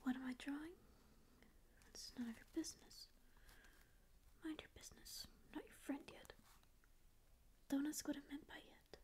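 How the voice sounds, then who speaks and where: A woman's voice: sits high, steady tone, moderate pace, long pauses, close to an everyday voice. A young woman whispering as a prickly sleep paralysis demon who insists she doesn't care but keeps listening and reassuring, close to the mic in a small dim room. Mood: grumpy, curious. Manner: whispering, curt denials that soften into reassurance. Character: prickly sleep paralysis demon, secretly caring, faux-apathetic. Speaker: ASMR roleplayer. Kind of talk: whispered ASMR roleplay. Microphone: close studio mic just off-frame.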